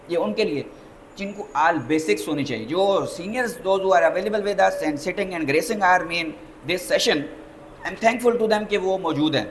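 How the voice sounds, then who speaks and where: A Pakistani man giving a lecture as a lawyer, deliberate and emphatic, slowing down when he mixes in English phrases. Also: sits high, slight background noise, low-quality recording.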